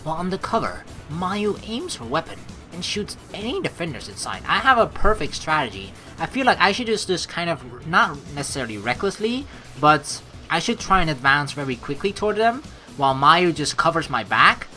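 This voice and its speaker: A woman streamer reading a game's narration aloud, mixed with casual, expressive commentary. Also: low-pitched, moderate pace, faint music behind. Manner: expressive, animated asides. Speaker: let's play streamer.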